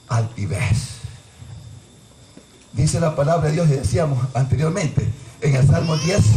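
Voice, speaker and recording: Fiery preacher man, echoey church. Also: a little high. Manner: fiery preaching, swings from quiet asides to shouted Bible verses. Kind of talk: sermon. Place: church sanctuary with congregation. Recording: echoey church with crowd noise.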